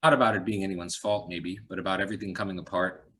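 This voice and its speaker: A man voicing a weary, despairing policewoman who talks in a blunt monotone. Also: low voice, fast, a little hiss or hum. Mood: flat. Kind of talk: audiobook reading.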